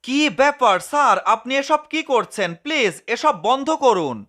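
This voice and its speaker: A man's voice: very high-pitched, sing-song, a little slow, sharp tone, full character voice. A man voicing a whiny, melodramatic, hungry male cat in a cartoon dub. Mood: frightened pleading. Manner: melodramatic, exclaiming.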